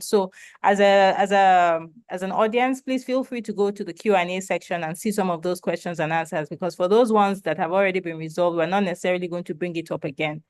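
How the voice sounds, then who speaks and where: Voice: mid-range voice, clear, resonant tone, no room sound, clean studio sound. A webinar moderator opening the floor and passing questions to presenters over a video call, speaking hesitantly with frequent ums and restarts.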